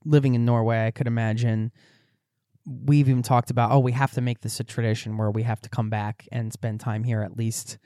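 A man's voice: little pitch movement, fairly quick, mellow, smooth, no room sound. Earnest, rambling man, dry close sound. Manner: earnest, rambling, upbeat, with rises in pitch. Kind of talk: casual chat.

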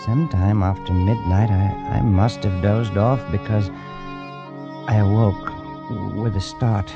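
A man's voice: lowish voice, steady tone, takes it slowly. A man playing a careless, arrogant adventurer in an old-time radio drama, flippant and scoffing, hesitant when cornered. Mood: haunted. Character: careless arrogant male adventurer, later haunted. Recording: lo-fi archival radio recording with music.

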